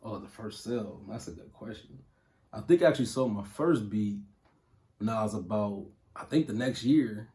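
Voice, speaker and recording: Relaxed man in his thirties. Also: on the low side, normal speed. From Toledo, Ohio. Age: 30s. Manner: relaxed, loose talk with fillers and slang. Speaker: music producer. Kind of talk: casual interview answers.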